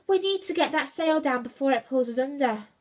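A woman voicing a small, anxious male animal sailor in a children's story, squeaky and fretful. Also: very animated, fairly quick. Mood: worried.

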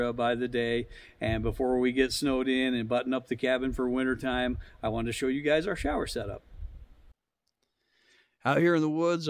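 A man's voice: mid-range voice, dry recording. A middle-aged man talking casually and plainly to viewers as an outdoor vlogger, explaining things in an easygoing way. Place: outdoors in snowy woods.

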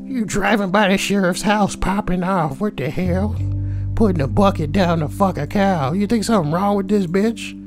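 A woman's voice: mid-range voice, normal speed, over music. A woman reading forum posts aloud and adding crude, sweary, joking commentary. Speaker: online narrator and commentator.

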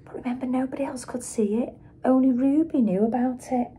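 A middle-aged woman reads a children's picture book aloud like a teacher, with dramatic emphasis and pauses for young listeners. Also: high-pitched, warm tone, husky. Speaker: schoolteacher reading aloud.

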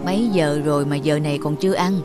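A woman's voice: low voice, fast talker, lightly acted. A woman playing a stern elderly cartoon grandmother and mother-in-law who scolds bluntly and frets. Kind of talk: cartoon voice acting. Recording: loud background music.

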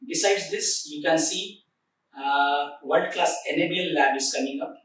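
A man in his forties, seated in an office, presenting a factory project in a formal way with many filler pauses. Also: fairly high pitch, fairly bright tone, slight background noise. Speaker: project coordinator. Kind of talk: corporate site tour presentation. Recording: echoey room, recorded from a distance.

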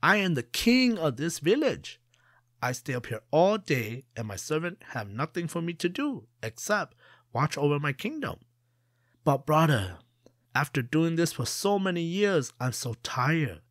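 A man playing a sly, high-voiced trickster. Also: big pitch swings, a little slow, fairly bright tone, no room sound, clean studio sound, full character voice. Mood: wheedling. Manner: wheedling, boastful. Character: sly young male trickster, boastful.

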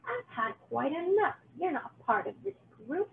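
A woman's voice: extremely high pitch, sing-song, unhurried, creaky, narrow, tinny audio, slight background noise, voice pushed up, exaggerated voice. Woman acting a screeching, bossy old witch. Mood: menacing. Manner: screeching, snapping orders. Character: bossy wicked old witch, snappish and menacing.

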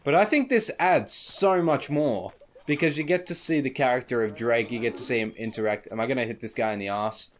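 A man commentating a game he plays, excitable and casual, his voice slightly croaky in the morning. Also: medium pitch, brisk. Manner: excitable, swears, shrieks at small things. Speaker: gaming YouTuber. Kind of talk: casual let's play commentary.

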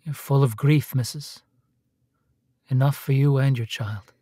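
Dogged detective, dry close sound. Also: male voice, mid-range voice, steady tone, unhurried, mellow, breathy edge, no room sound. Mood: gentle, sad. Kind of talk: dramatic radio play acting.

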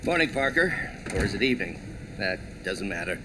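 An actor playing an absent-minded middle-aged scientist and mentor in a video game, brisk and understated. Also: male voice, lowish voice, big pitch swings, background noise. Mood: brisk, preoccupied.

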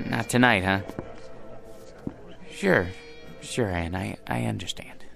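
An actor playing a meek, put-upon husband in a radio play, hesitant and plaintive. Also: male voice, lowish voice, slow pace, creaky, exaggerated voice. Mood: wistful, resigned. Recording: studio radio play with music behind.

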